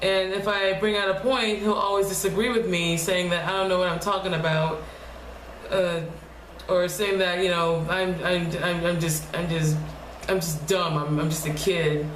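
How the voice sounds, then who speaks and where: A young woman speaking quietly in a low, deep voice, talking haltingly and restarting her words often in a casual personal vlog at home. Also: fairly even intonation. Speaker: vlogger. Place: home room. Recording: echoey room with faint noise.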